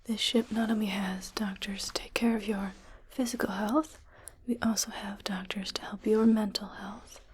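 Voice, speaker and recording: A woman playing a calm, reassuring spaceship doctor in a soft, breathy ASMR whisper, speaking gently and soothingly. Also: mid-range voice, normal speed. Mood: calm, clinical. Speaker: ASMR roleplayer. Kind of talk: soft ASMR medical roleplay.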